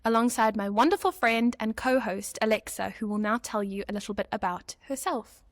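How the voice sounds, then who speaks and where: An upbeat woman in her twenties from South Africa co-hosts a podcast, her voice sitting a bit high. She reads her lines with a lively, scripted flow, and the recording is dry and close with no room sound. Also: fairly quick, no echo. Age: 20s. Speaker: podcast co-host.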